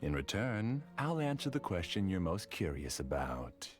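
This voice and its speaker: An obsessive polite scholar. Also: male voice, sits low, very animated, soft background music. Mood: calm confession. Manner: courteous, measured, quietly intense. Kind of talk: game cutscene dialogue.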